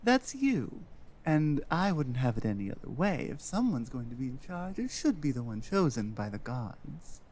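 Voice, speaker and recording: A man's voice: mid-range voice, very animated, normal speed, a little mellow, slight background noise, lightly acted. A man playing an earnest, self-doubting Nordic guide and adventuring companion in a roleplay audio drama, musing hesitantly. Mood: cautious, deferential.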